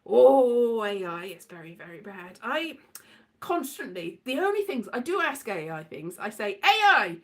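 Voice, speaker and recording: A British woman telling casual, rambling comic anecdotes in an animated, theatrical way, acting out exchanges as she goes. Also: medium pitch, a little slow. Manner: animated, theatrical, acts out exchanges, breaks into sing-song. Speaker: solo host of an audio show.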